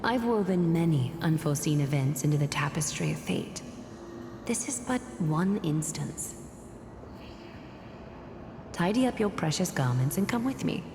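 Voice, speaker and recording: A woman's voice: sits low, expressive, a little slow, pauses often, noisy background. An actress playing a regal, grave woman seer in an anime game, composed and stately, in a reverberant space. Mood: composed, commanding. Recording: long echoing reverb. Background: music.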